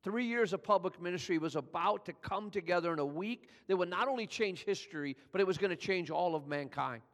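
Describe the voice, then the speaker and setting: A man's voice: animated, a little fast, a bit bright. Older man preaching in a reverberant hall. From California. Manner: conversational preaching, builds in pitch and volume as he tells the story. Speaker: pastor. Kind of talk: sermon.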